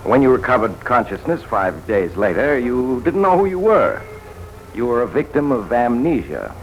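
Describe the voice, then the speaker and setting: An actor playing a calm, tactful American male physician in a 1940s radio drama, explaining patiently with small hesitations. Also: medium pitch, a little hiss or hum, rough recording. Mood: calm, explanatory. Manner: measured, hesitant with soft "uh"s. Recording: old 1940s radio recording with music.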